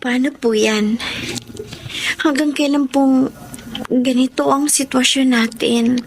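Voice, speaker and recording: High-voiced, shy young mother. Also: fairly even intonation, slow pace, a little hiss or hum. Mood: worried. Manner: polite, hesitant. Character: shy, deferential young mother, grateful and uneasy. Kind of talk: Filipino radio drama acting.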